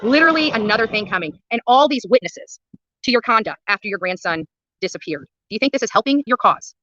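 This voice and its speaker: A woman's voice: fairly high pitch, lively intonation, fast talker, sharp tone, no room sound, lo-fi sound. A woman livestreaming angry commentary in a blunt, indignant way, cursing and repeating phrases for emphasis. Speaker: livestreamer at a street protest. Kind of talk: angry live commentary and casual chat.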